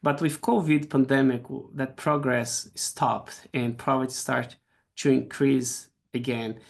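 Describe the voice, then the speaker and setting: A middle-aged Brazilian man with a Brazilian accent, giving an academic seminar lecture over a video call, halting with frequent restarts. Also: mid-range voice, steady tone, creaky. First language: Portuguese. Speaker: guest speaker.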